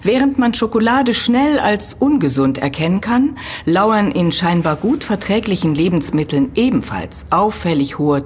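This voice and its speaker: An actress playing an earnest, critical university lecturer in an audio drama, explaining precisely and emphatically. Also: female voice, medium pitch, darkish tone, slight background noise, natural voice. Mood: explanatory, critical. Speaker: expert being interviewed.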